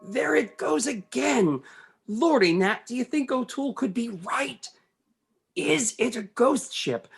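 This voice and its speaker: A woman's voice: on the high side, slow, gravelly, husky, exaggerated voice. A woman playing an anxious, eager young ship's mate with urgent delivery in an amateur radio play. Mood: anxious pleading. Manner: urgent, excitable.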